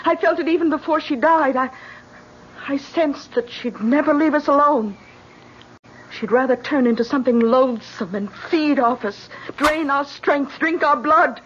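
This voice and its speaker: Haunted young wife: high voice, bitter, melodramatic, urgent, dull old radio sound. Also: female voice, unhurried, fairly bright tone, a little hiss or hum. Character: bitter, frightened, superstitious young wife obsessed with vampires. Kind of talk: 1970s radio drama acting.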